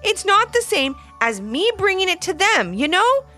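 Storybook young male monkey, very high, earnest, anxious, friendly; female performer. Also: very animated, normal speed, bright, forceful tone, faint music behind, exaggerated voice. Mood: sad, earnest. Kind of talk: children's storybook character voice.

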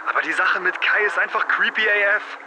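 A man's voice: high-pitched, brisk, noisy background, natural voice. A young man playing an uneasy, reproachful teenage boy leaving a hesitant, slangy voice message in an audio drama.

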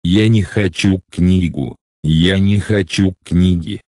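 A man slowly reading out short phrases for a language lesson, with careful, deliberate pronunciation. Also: sits low, steady tone, darkish tone, no echo, clean studio sound. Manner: slow, deliberate enunciation. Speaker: Russian phrase reader. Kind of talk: slow scripted phrase reading.

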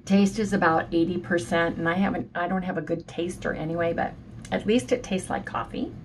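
Hoarse, nasal woman in her sixties. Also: on the low side, a little fast, slight background noise, poor audio. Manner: chatty, keeps saying "you guys". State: hoarse and nasal from sinus trouble. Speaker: vlogger. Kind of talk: casual morning vlog chat. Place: home office with shelves.

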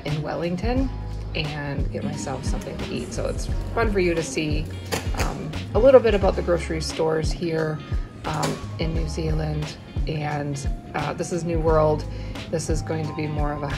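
Chatty American woman with a low voice. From United States. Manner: chatty, laughs often, fillers like um and uh. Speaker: vlogger. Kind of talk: casual vlog narration. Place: small bright room indoors, then walking outdoors in the city.

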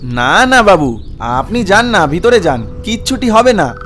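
A man voices a young village goatherd in a cartoon. The boy sounds high-pitched, eager and respectful, repeating his urgings insistently. Faint music runs underneath. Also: sing-song, a little slow, a bit bright, heavily acted. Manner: coaxing, deferential, repeats urgings. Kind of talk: cartoon voice acting.

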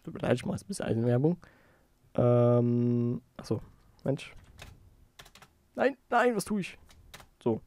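Mellow man giving a casual tutorial. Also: mid-range voice, sing-song, unhurried, pauses often. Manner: informal, thinking aloud. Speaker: tutorial host. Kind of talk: casual screen-recorded software tutorial.

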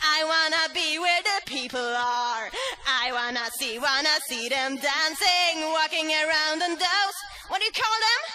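A woman's voice: high-pitched, unhurried. A woman singing a pop cover as an amateur, over backing music. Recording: music under the voice.

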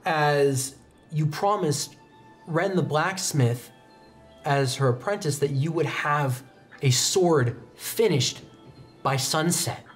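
A man's voice: a little high, takes it slowly. A young man narrating an improvised tabletop roleplaying game as game master, describing scenes like a storyteller. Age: young adult. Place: indoor studio, seated at a desk. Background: background music.

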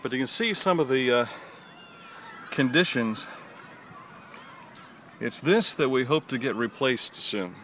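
A man, chatting through a handheld walking tour of an outdoor high school stadium, folksy and proud of his hometown. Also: animated, a little slow, pauses often, fairly bright tone, a little hiss or hum. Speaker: local stadium tour guide. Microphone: handheld camera at arm's length.

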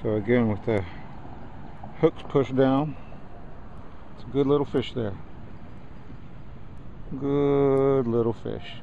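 A man hosting his own fishing video, talking casually to viewers while catching and releasing bass at a pond edge. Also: steady tone, takes it slowly, gravelly, pauses often. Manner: easygoing, addresses viewers as ladies and gentlemen. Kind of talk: casual outdoor fishing commentary. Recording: muffled lo-fi camera audio with outdoor wind noise.

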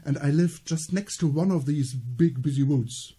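A middle-aged man from northern Germany with a German accent, telling a slow, gentle solo theater story. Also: a little high, heavy background noise. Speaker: theater performer.

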